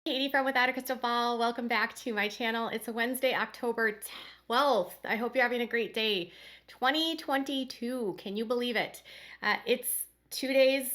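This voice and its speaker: A woman's voice: fairly high pitch, normal speed, fairly bright tone. A woman hosting a casual commentary channel who talks straight to her viewers in a rambling way, her nose congested from a cold.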